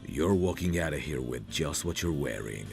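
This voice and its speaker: A man playing a possessive, protective mafia boss in an intimate audio roleplay, calmly menacing, commanding and smug. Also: over music, heavily acted. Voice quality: deep, gravelly. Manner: slow, commanding, smug, teasing endearments.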